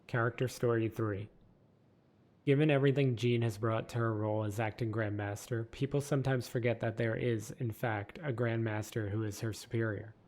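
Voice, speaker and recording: A man narrating game lore from a script in a measured, steady reading. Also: lowish voice.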